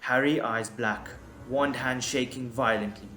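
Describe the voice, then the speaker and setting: Slow, suspenseful male narrator with echo. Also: medium pitch, fairly even intonation, bright, forceful tone. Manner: deliberate, suspenseful. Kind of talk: scripted dramatic narration. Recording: echoey, music underneath. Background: music.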